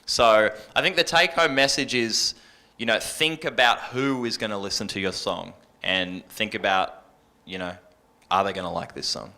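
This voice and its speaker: A man's voice: lowish voice, moderate pace, bright, forceful tone. A man giving earnest, conversational advice on a panel as a radio presenter, often rephrasing himself as he goes. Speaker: radio presenter and music programmer on an industry panel.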